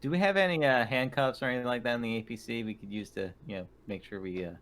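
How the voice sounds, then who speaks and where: A man's voice: medium pitch, fast talker, fairly bright tone. Male game player, middle register, casual conversational delivery.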